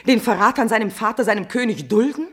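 An actress playing a guilt-ridden queen in a classical tragedy, declaiming verse with a husky voice, impassioned and swinging between hush and outcry. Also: fairly high pitch, quick pace, close to an everyday voice. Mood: panicked guilt. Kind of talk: declamatory classical German stage verse acting.

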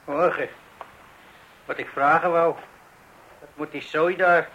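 A man's voice: a little high, fairly even intonation, takes it slowly, a bit bright, highs cut off. Blunt, grumbling binman. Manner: blunt, colloquial, insistent. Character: stubborn, rule-bound working-class refuse collector, grumbling. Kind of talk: radio play acting.

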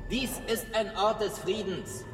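A man's voice: high voice, unhurried. An actor playing a firm, principled churchman in a radio crime drama, formal and unyielding. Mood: stern, defiant. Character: firm, principled church figure standing up to armed officers. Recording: echoey church hall, loud music behind.

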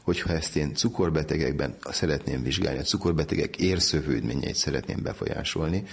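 Very deep, gravelly man. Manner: explanatory, methodical. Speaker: medical researcher, interview guest. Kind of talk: explaining research in an interview.

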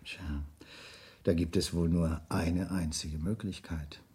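A man's voice: sits low, fairly even intonation, slow, darkish tone, husky, full character voice. An actor playing a self-assured, evasive businessman in a 1970s radio crime drama, speaking formally and insistently. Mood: persuasive.

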